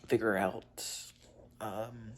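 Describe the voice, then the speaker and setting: A congested man chatting casually to his viewers in a vlog, halting with many fillers and restarts. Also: on the low side, fairly even intonation, fairly bright tone. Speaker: vlogger.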